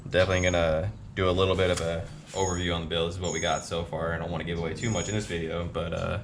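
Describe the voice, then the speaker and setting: A man with a very low voice talks through a home motorcycle build in a loose, unhurried way, pausing often. The recording carries clear background noise from the workshop. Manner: relaxed, many "uh" pauses. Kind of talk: casual DIY build walkthrough.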